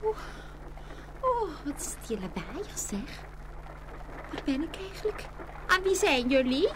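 A self-important little needle in a fairy-tale radio play. She is high and swoopy, haughty and fussy, full of oohs and ohs. A hum and noise sit under the recording. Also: big pitch swings, a little slow. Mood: anxious, fussy. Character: vain, prissy female darning needle, easily offended, self-important.

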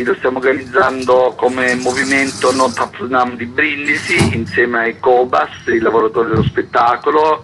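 A man's voice: mid-range voice, sharp tone, slight background noise, low-quality recording. A man speaking informally as an activist guest in a radio interview. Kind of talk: informal spoken interview explanation.

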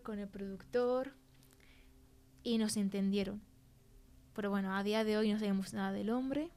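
A woman's voice: mid-range voice, a little mellow, close to an everyday voice. An actress playing a shaken young film-crew woman telling an eerie story in colloquial Castilian Spanish, in a chatty, teasing way. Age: young adult. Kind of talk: conversational interview in an audio fiction podcast.

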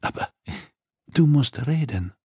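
A man's voice: medium pitch, animated, takes it slowly, darkish tone, breathy edge, no room sound, clean studio sound, voice pushed up. A man narrating an audiobook, voicing a coolly persuasive, threatening female criminal who interrogates with insistence and condescension. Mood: insistent, threatening.

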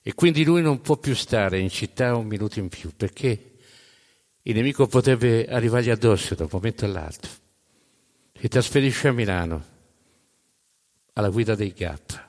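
A man with a fairly low voice tells a story live on stage, his words trailing into long hall reverb. His delivery is theatrical and emphatic, moving between forceful projection and hushed phrases. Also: animated, moderate pace, pauses often. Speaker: narrator.

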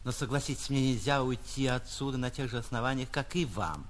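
A man's voice: medium pitch, brisk, close to an everyday voice. A man voicing a pompous, gallant, self-satisfied musician with florid, over-courteous speech in a radio story reading. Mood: pleading courtesy.

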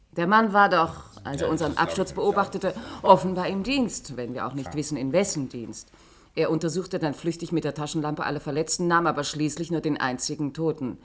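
An actress playing a stern, incisive chief public prosecutor in a radio play, precise and insistent. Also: female voice, low-pitched. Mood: cool, insistent. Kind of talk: German radio play acting.

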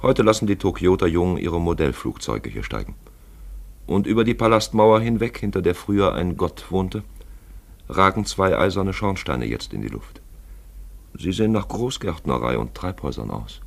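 An observant chronicler narrating a literary radio drama. The voice is very deep and gravelly, with lively rises and falls. He describes things plainly, like a storyteller. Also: normal speed, full character voice. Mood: sombre. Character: observant male chronicler of a grey postwar city.

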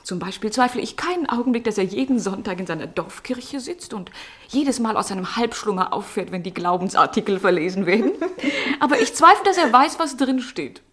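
An actress playing a young, charming, witty lady-in-waiting in a German radio drama, teasing, ironic and sharp-tongued. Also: on the high side, expressive, fairly quick. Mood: mocking, scornful. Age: young adult.

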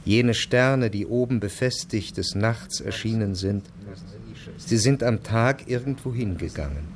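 A man playing a solemn reciter of ancient hymns in a radio drama, speaking in a measured, incantatory manner. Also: lowish voice, slight background noise, lightly acted.

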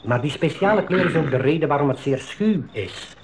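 A man acting a knowledgeable, calm reserve guide in a 1980s school radio drama, explaining things in a measured, articulate way. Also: fairly high pitch, vocal fry, background noise. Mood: earnest, informative.

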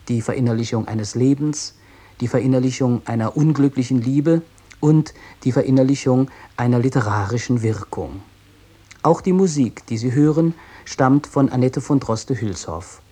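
Mellow-voiced man reading a formal introduction. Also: mid-range voice, husky. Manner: formal, measured, academic phrasing. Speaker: author introducing his radio play. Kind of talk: scripted introduction read aloud.

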